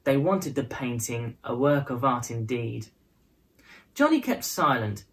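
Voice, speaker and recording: A woman reading a children's picture book aloud as a storyteller, with expressive, dramatized phrasing. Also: extremely low pitch, measured pace. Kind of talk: scripted storybook narration in prose and verse.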